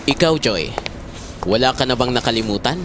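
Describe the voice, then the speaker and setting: A man's voice: medium pitch, animated, moderate pace, background noise, natural voice. A man playing an affectionate but firm middle-aged father in a Filipino audio drama, mixing in English. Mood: brisk.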